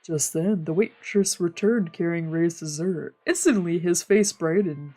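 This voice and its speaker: A woman's voice: lowish voice, unhurried, mellow. A woman streamer reading scripted visual novel narration aloud.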